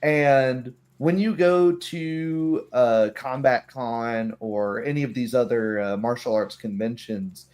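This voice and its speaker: Man talking through a casual argument, mid-pitched. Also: darkish tone. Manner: halting, argues through hypotheticals and mimicked dialogue. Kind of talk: casual opinionated discussion.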